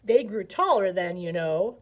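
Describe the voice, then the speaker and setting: A woman's voice: mid-range voice, lively intonation, unhurried. A woman voicing a gabby old green python who boasts and reminisces in a children's storybook. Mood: nostalgic. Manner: gabby, nostalgic.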